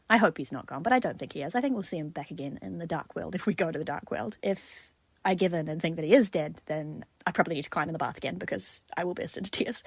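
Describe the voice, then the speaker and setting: Woman, lowish pitch, dry recording, casual, expressive, self-deprecating, chatty voice message. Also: husky, no echo. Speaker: listener sending in a voice message.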